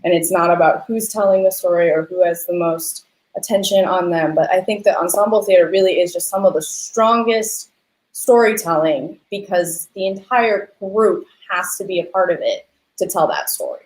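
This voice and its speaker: A woman's voice: lowish voice, moderate pace, darkish tone. A woman hosting a conversational panel interview, effusive in how she talks. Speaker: host, interviewer. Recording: echoey room sound.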